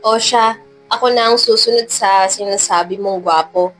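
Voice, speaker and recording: A woman voicing a deadpan, cool-headed saleslady around thirty, dry and blunt, in a narrated love story. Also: mid-range voice, normal speed, faint music behind, close to an everyday voice, rough recording. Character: deadpan, cool-headed thirty-year-old saleslady, former security agent.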